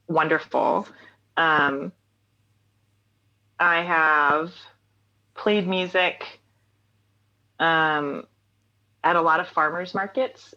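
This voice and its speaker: A woman's voice: lowish voice, bright, forceful tone, highs cut off. A woman in her forties, a singer-songwriter guest telling stories in a casual interview, laughing often. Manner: chatty, laughs often, storytelling.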